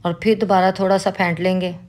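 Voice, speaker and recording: A woman with a Pakistani Urdu accent walks through a home recipe in a calm, instructive way. Her voice sits a little low, and she talks like a cooking host guiding viewers step by step. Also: fairly quick. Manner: calm, instructive, with religious greetings. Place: home kitchen.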